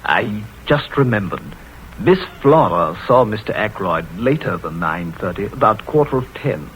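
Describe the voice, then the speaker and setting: A man's voice: fairly low pitch, animated, a little slow, a little hiss or hum, rough recording. An actor playing a deferential, nervous male butler in 1940s radio acting, hesitant and polite.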